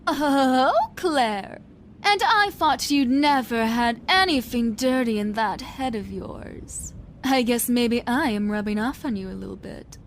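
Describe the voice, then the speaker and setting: A woman's voice: fairly high pitch, unhurried, a little hiss or hum. A woman playing a vain, carefree young woman in an audio drama, with a breezy, teasing, theatrical delivery.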